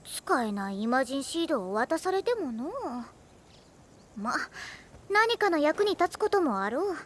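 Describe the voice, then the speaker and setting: A woman's voice: sits high, very animated, normal speed, a little hiss or hum. A woman voicing a haughty little female mascot creature in an anime game, bossy and self-admiring, with old-timer phrasing. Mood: smug, grumbling. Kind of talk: Japanese anime game voice acting. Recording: loud game music behind voice.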